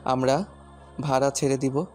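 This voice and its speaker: A man's voice: mid-range voice, level tone, measured pace, darkish tone, natural voice. A man playing a fierce, stubborn, protective husband with a hard, commanding way of talking in an audio drama. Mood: hard, curt. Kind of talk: dramatised audio story acting. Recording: music bed under the voice.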